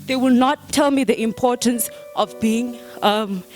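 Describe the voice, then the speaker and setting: A woman's voice: on the high side, measured pace, a little mellow, soft background music. A young woman of eighteen, a school deputy head girl, giving an earnest, storytelling speech at a book launch.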